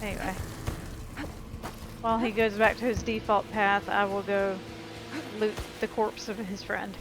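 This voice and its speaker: A woman's voice: medium pitch, flat delivery, measured pace, poor audio. A woman giving casual, informal video game commentary, talking to viewers as she plays. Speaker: gameplay commentator. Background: game music and sound effects.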